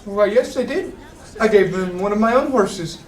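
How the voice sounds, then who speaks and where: A man's voice: high-pitched, animated, normal speed, distant mic. A man playing a jovial, folksy and eager-to-please innkeeper in a fantasy audio drama. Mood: jovial, welcoming. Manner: folksy, effusive, slightly flustered. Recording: roomy echo, off the mic.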